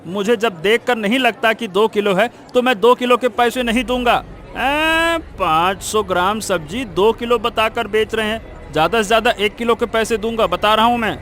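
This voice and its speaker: A man's voice: high voice, normal speed, fairly bright tone, soft background music. A man playing a scheming, spiteful village cheat in a cartoon, argumentative, blustering and insistent. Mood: belligerent. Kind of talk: Hindi cartoon moral story acting.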